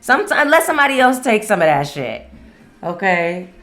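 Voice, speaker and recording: A woman's voice: mid-range voice, big pitch swings, moderate pace, a bit bright. A woman hosting a solo podcast in a casual, candid, playful way, swearing freely. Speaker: podcast host.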